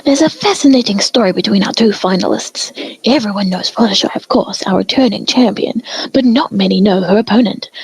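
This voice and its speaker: A woman voicing an excitable cartoon pony sports announcer, delivering play-by-play style commentary. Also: mid-range voice, slightly rough, no echo, low-quality recording.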